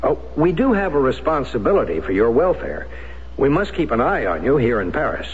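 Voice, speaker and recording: A courteous, reassuring American consular official in an old radio play voiced by a man, reasonable and measured. His middle-pitched voice comes through a dull recording with faint noise. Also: very animated, natural voice. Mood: courteous concern. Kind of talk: radio drama acting.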